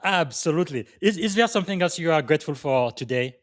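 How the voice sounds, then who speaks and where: A man's voice: on the high side, expressive, fast talker, no room sound, clean studio sound. A man from Congo hosting a live podcast interview, upbeat and emphatic, with frequent fillers. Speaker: podcast host.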